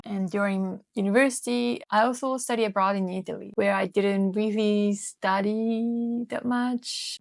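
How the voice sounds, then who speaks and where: A woman in her twenties from Japan, speaking English with a Japanese accent in a calm, reflective voice-over for her vlog. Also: mid-range voice, no echo, clean studio sound. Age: 20s. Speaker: vlogger. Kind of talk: scripted voice-over narration.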